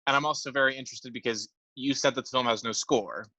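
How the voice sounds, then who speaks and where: Bright-voiced young man gushing about film. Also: mid-range voice, fast, husky, no echo, muffled recording. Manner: enthusiastic, filler-heavy, repeats words. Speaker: podcast co-host. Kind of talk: casual film podcast chat.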